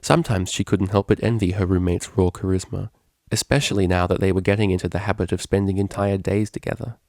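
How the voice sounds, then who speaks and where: Low, creaky-voiced man reading a story. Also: fairly even intonation, quick pace, a little mellow, no room sound, clean studio sound. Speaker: fan fiction reader. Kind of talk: expressive scripted story narration.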